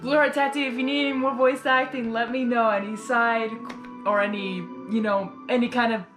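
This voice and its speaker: A woman giving a casual live reaction as a video host, excitable and gushing. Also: on the high side, moderate pace, faint music behind.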